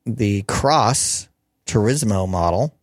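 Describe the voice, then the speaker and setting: A hoarse man with a slightly low voice hosts a call-in radio show. He rambles casually through tech news with plenty of ums and restarts, close to a dry studio mic. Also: expressive, slow pace, dry recording. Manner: rambling, chatty, many fillers and restarts.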